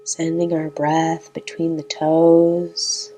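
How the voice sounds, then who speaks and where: A woman leading a guided meditation in a calm, gentle, unhurried manner with long pauses between phrases. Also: low-pitched, low-quality recording.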